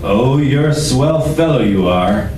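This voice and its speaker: A man's voice: medium pitch, expressive, unhurried, natural voice. A man playing a grumpy, weary, sardonic police lieutenant in an old-time radio detective drama. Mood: sarcastic. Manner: grumbling, sardonic. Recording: roomy, distant microphone, noticeable background noise.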